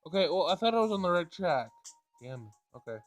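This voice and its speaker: A man doing a casual game playthrough, reading dialogue aloud and thinking out loud in informal, slangy language. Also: high voice, big pitch swings, a little fast, faint music behind. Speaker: let's play gamer.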